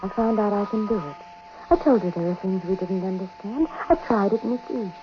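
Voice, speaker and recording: A woman's voice: mid-range voice, fairly quick, background noise, lightly acted. A woman playing an entranced, obsessive wife summoning the dead, with intense theatrical delivery in a 1940s radio drama. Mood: entranced, insistent. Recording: muffled 1940s radio broadcast.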